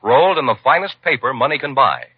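A male commercial announcer in vintage 1950s radio style. His husky voice is animated and salesmanlike, stressing key words. The recording is dry and slightly muffled. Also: mid-range voice, quick pace, dry recording. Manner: emphatic, salesmanlike. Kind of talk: 1950s radio advertising pitch.

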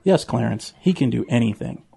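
A man's voice: medium pitch, animated, fairly quick, vocal fry, warm tone, husky, full character voice. A man playing an earnest, preachy pig with an admonishing manner, in a children's audio drama. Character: earnest, preachy male pig with a fussy nervous streak.

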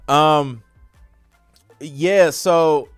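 A man's voice: on the high side, drawn-out words, clean tone, no echo. A man hosting a casual livestream Q&A about music production, talking in an informal, slangy, conversational way. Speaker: podcast host and music producer.

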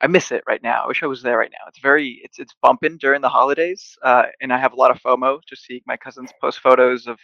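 A man's voice: mid-range voice, fairly even intonation, fast talker, gravelly, few pauses, bright, forceful tone, no echo, muffled recording. A young man from Mexico, a guest on a podcast, talking in a friendly, joking way over a video call from home. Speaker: podcast guest, agency founder. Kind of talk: casual interview. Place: home, recording remotely.

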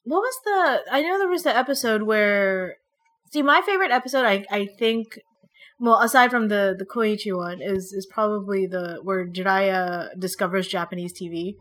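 A woman's voice: medium pitch, normal speed. A young woman born in the Philippines with an American accent, chatting animatedly and bluntly as a podcast co-host. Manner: animated and blunt, swears casually. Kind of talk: casual chat about a TV show.

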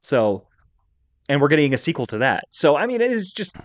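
A man's voice: fairly high pitch, big pitch swings, fairly quick, dry recording. A man hosting a podcast in casual chat, giving emphatic, opinionated takes with plenty of enthusiasm. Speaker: podcast host.

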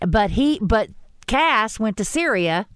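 A woman's voice: fairly high pitch, slow pace, smooth, no room sound, studio quality. A woman co-hosting a podcast, chatting casually and animatedly about a TV episode. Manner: chatty, animated storytelling with rising exclamations. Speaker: podcast co-host. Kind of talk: casual fan discussion chat.

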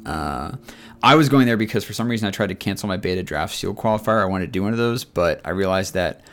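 A man's voice: deep voice, animated, quick pace, gravelly, fairly bright tone. A man with a scratchy voice telling rambling, chatty stories in a casual chat as podcast co-host.

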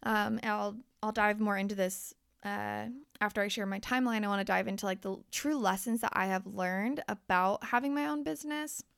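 A woman's voice: medium pitch, fairly even intonation, brisk, gravelly, clean tone, dry recording, studio quality. A young woman podcast host and life coach who is losing her voice. She talks candidly and swears freely as she speaks straight to her listeners. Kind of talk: casual motivational podcast monologue.

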